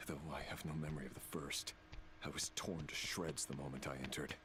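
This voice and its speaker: An actor playing a brooding, remorseful fallen villain in a video game, speaking slowly and solemnly with halting phrases. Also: male voice, a bass voice, little pitch movement, background noise. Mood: grave. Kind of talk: dramatic video game dialogue. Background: music.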